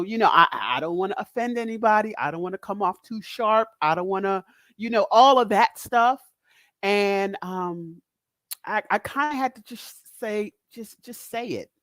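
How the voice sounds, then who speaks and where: Deep-voiced direct woman, dry sound. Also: normal speed, no echo. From Northeast US. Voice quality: big, deep, loud voice. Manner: direct, candid, laughs often. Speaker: motivational speaker, guest. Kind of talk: casual podcast chat.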